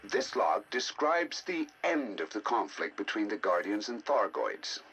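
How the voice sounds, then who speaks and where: An actor playing a scholarly, intrigued scientist who narrates scripted game audio logs in a deliberate, theatrical, musing way. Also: male voice, mid-range voice, pushed and bright, husky, heavily acted, low-quality recording. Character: scholarly male scientist, intrigued by ancient alien data. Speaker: researcher narrating decoded alien history logs.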